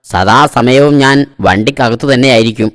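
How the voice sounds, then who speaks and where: A man's voice: medium pitch, normal speed, a bit bright, close to an everyday voice. Man voicing a cocky, gullible cartoon simpleton. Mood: cocky, trusting. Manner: self-assured, naive. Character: cocky, gullible male car owner, comic simpleton. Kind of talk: comic cartoon dialogue.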